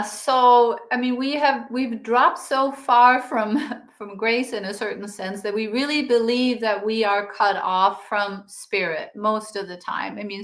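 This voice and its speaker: Soft-spoken woman, roomy sound. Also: mid-range voice. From Denmark. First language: Danish. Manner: soft, meditative, drifting quieter. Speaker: guest, yoga teacher and author. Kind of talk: spiritual interview talk.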